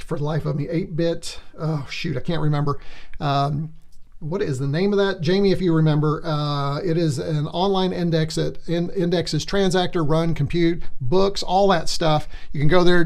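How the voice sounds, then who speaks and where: A man hosting a casual livestream, rambling as he reads out viewer comments, his voice going hoarse. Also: a little high. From born in Germany, military family. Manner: chatty, rambling, many restarts and fillers. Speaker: livestream host.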